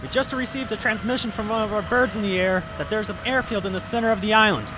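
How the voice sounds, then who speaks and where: A man's voice: high voice, quick pace, lightly acted. A man playing an eager, deferential army private in an amateur wartime radio drama. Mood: urgent, deferential. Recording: noisy amateur recording.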